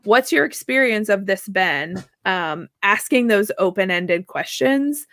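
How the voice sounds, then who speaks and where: A woman in her thirties, a therapist guest answering at length in a casual, animated way, laughing easily and often checking in with "right?". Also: mid-range voice, unhurried, no echo. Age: 30s. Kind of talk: conversational interview answer.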